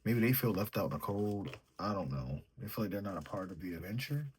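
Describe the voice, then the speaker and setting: A young man reads tarot in a mellow, sleepy manner, musing out loud over each card. His voice sits low and has a husky, breathy edge. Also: very animated, brisk. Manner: sleepy, mellow, thinks aloud while drawing cards. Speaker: tarot reader. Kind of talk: solo tarot reading, casual chat.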